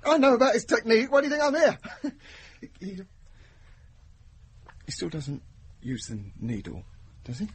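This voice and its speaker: An actor playing a jittery, pushy, boastful dental patient in a radio play, stammering and blustering. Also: male voice, high voice, wildly swooping pitch, moderate pace, long pauses, heavily acted. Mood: nervous, blustering. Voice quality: husky, breathy. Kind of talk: naturalistic radio drama acting.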